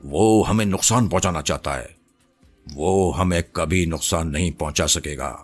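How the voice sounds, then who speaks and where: Man voicing a slow, menacing male spirit. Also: low voice, slightly rough, faint music behind. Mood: threatening. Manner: slow, angry, threatening, imperious. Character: menacing, arrogant male spirit speaking through possessed woman.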